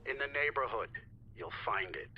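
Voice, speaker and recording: An actor playing a cold, terse, threatening kidnapper in a game, heard through a radio filter. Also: male voice, lowish voice, animated, normal speed, slight background noise. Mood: menacing. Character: cold, menacing male kidnapper. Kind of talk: cinematic game voice acting. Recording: dull radio line with reverb.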